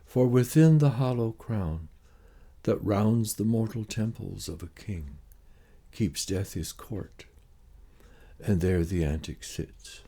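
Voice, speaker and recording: A man reciting Shakespeare monologues in a slow, theatrical and deliberate way, with weighty pauses. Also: sits low, warm tone, breathy edge.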